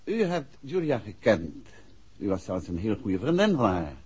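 Courteous investigator, lively husky male voice. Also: fairly low pitch, normal speed, heavily acted. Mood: polite, coaxing. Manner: polite, coaxing. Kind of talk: 1960s radio drama acting. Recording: muffled old 1960s radio recording.

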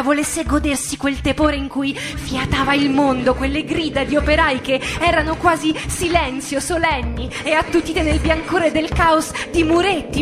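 A woman recites poetry as radio theatre, in a solemn, deliberate way with dramatic pauses. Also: sits high. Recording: music under the voice. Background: music.

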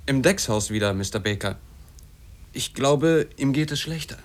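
A man's voice: mid-range voice, expressive, normal speed, close to an everyday voice. Guy doing a hot-headed sailor in a radio play, medium voice, blunt and full of feeling. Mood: pleading concern. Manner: emotional, pleading, blunt. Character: hot-headed, loyal, protective sailor, male. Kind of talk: German radio drama acting.

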